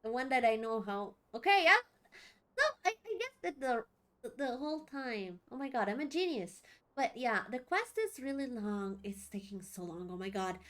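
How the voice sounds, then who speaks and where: A woman chatting casually as she streams a video game, expressive, exclamatory and rambling. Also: on the high side, normal speed, slight background noise. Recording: faint game music behind.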